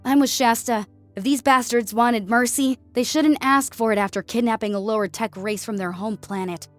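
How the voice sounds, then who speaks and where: A woman's voice: a little high, steady tone, normal speed, no room sound, lightly acted. A woman voicing a blunt, wry female alien soldier in an audio story. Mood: scornful. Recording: music under the voice.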